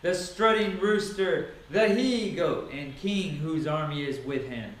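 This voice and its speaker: A man with a high-pitched voice reads scripture aloud in a dramatic style. His delivery is solemn and declamatory, with each phrase set out deliberately. The recording is drenched in echo. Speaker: Bible reader. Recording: very echoey, reverberant sound.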